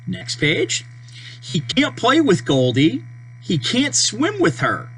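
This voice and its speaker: A person reading a children's storybook aloud, with deliberate, simple phrasing and page-turn prompts. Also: mid-range voice, husky, low-quality recording. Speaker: storybook reader. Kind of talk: children's read-aloud narration. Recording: steady mains hum behind voice.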